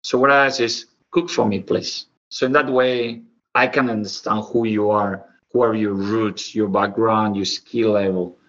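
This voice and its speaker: A man in his forties with a lowish, bright, forceful voice and an Argentine Spanish accent. He tells stories informally and expressively in non-native English, like a chef being interviewed. The audio is slightly dull. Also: fairly even intonation, normal speed, hardly any pauses. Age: 40s. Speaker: professional chef, interview guest. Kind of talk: conversational interview storytelling.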